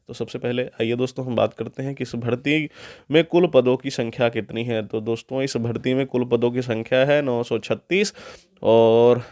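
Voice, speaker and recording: A man gives an instructional explainer in a mid-pitched voice. He addresses viewers directly and repeats key details in other words. The sound is dry, with little room on it. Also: darkish tone, dry recording. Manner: repeats and restates key points, often in Hindi and English. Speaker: presenter of an exam guidance channel.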